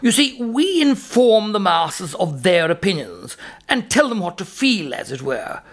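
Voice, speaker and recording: An actor playing a pompous, snobbish Irish academic with an Irish accent, fussy and drawn-out in a mock documentary interview. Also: male voice, sits high, sing-song, a bit bright, full character voice. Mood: condescending. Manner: pompous, hesitant, theatrically drawn-out.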